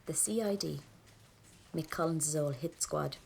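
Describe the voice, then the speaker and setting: A woman's voice: sits low, measured pace, vocal fry, darkish tone, slight background noise. An actress with a Dublin Irish accent playing a tense, hardened young rebel woman, narrating a 1920s audio drama in the first person. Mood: grim, resolute.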